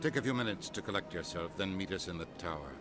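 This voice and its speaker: A man plays a sardonic government official in a sci-fi game. His voice is on the low side, dry and deliberate, and music plays clearly behind it. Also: natural voice. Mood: businesslike. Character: sardonic male diplomat, sceptical official. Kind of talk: video game cutscene acting.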